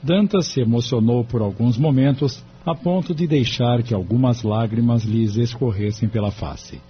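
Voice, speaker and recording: A man's voice: medium pitch, moderate pace. Male audio drama narrator, muffled recording. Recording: dull recording with faint background noise.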